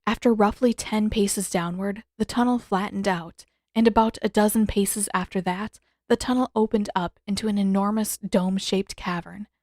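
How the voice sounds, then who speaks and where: A woman's voice: mid-range voice, fairly even intonation, moderate pace, few pauses, a little mellow, dry recording. Woman narrator with a dry, close sound. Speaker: audiobook narrator. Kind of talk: scripted story narration.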